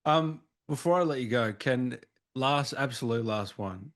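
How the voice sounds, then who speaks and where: A man's voice: medium pitch, a little slow. A man hosting a casual interview, chiming in with short eager replies and the odd swear word. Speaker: host.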